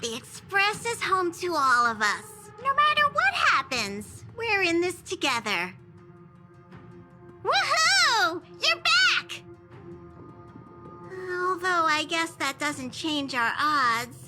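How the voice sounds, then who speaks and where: A woman's voice: very high voice, big pitch swings, takes it slowly, over music, heavily acted. A woman voicing a small, childlike, devoted conductor creature in a video game, earnest and talking in the third person. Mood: cheerful.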